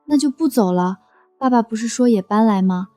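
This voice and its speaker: Woman acting affectionate mischievous younger sister from the city, highish mellow voice, dry. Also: lively intonation, fairly quick, no echo, soft background music, lightly acted. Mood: pleading, affectionate. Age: young adult. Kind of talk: scripted drama acting.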